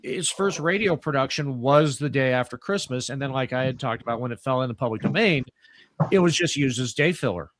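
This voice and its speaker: A man's voice: medium pitch, a little fast, slight background noise. A man hosting a cast discussion in a casual, conversational way. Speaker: host of a radio players troupe discussion.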